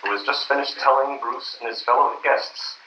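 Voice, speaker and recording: A man narrating a live old-time radio drama in a measured, dramatic storytelling manner. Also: medium pitch, bright, forceful tone, a little hiss or hum. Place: convention stage before an audience. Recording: roomy, distant, dull live stage recording.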